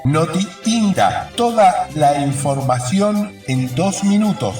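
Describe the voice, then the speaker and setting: A man's voice: on the high side, big pitch swings, takes it slowly. A man announcing a radio news jingle, recorded with an echoey, distant sound. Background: clear music.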